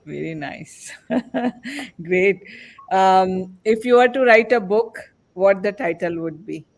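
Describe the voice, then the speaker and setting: Male podcast host, slow and high-pitched, encouraging with guests. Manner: encouraging, frequent affirmations. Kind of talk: casual panel moderating.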